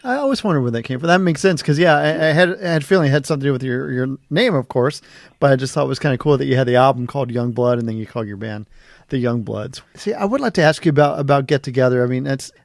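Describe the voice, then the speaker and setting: A man's voice: mid-range voice, rapid-fire, gravelly, no echo, studio quality. A man hosting an interview casually, with an enthusiastic, admiring manner. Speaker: interview host.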